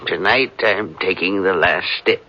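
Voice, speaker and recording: A man's voice: low voice, a little slow. A man playing a stern, secretive older professor and father in a 1940s radio mystery, brusque and authoritative. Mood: guarded, dismissive. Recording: hissy, muffled vintage radio recording.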